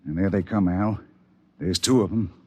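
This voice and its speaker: An actor playing a gruff, plain-spoken middle-aged prospector and father in a 1950s radio drama. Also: low voice, normal speed. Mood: tense. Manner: gruff, folksy grammar.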